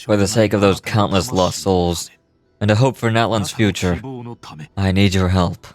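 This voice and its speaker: An actor playing a grave, solemn warrior captain in a video game, speaking formally and with measured weight. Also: male voice, low voice, steady tone, lightly acted. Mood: solemn, resolute. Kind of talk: video game dialogue acting. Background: game music.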